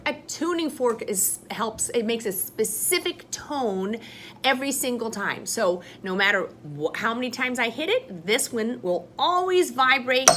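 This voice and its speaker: A woman teacher talks to first graders in a loud, bright voice that swings up and down. She takes her time over every phrase, like someone explaining carefully to small children. A faint hum of room noise sits behind her. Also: fairly high pitch. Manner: slow, sing-song teacher talk addressing children. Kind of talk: recorded classroom lesson for children.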